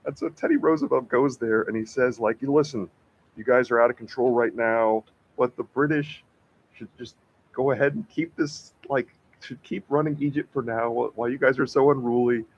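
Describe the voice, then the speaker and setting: A man chatting casually about history on a podcast, rambling and slangy, with a husky voice. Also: mid-range voice, a little fast. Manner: rambling, slangy, filler-heavy with frequent "like". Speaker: podcast co-host discussing books. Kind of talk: casual chat. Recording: dull, lo-fi audio.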